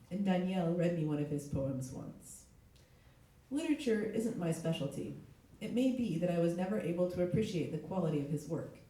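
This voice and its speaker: Middle-aged woman, hoarse from a cold, mellow tone, live literary reading, heavily echoing room. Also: lowish voice. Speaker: literary translator giving a reading. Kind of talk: live literary reading aloud with short spoken introduction. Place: echoey indoor event space. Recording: very echoey room, distant mic.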